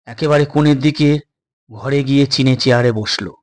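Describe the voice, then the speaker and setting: A man's voice: mid-range voice, normal speed. A man narrating a suspense story as a storyteller, in a dramatic scripted style.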